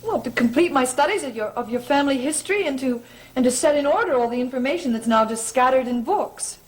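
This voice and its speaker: A woman's voice: sits high, expressive, quick pace, low-quality recording. An actress playing an earnest, apologetic lady researcher, polite and imploring, in a melodramatic gothic soap opera. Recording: old TV soundtrack with music behind.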